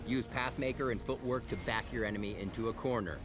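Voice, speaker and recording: A man's voice: medium pitch, fast. A man delivering scripted narration for a video game character guide. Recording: music behind the narration. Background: music.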